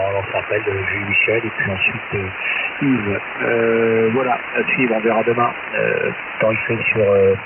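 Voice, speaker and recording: A man chatting casually as an amateur radio operator over a shortwave transmission, halting as he goes. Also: lowish voice. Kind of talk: casual ham radio chat. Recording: narrow-band shortwave radio, loud hiss, distant.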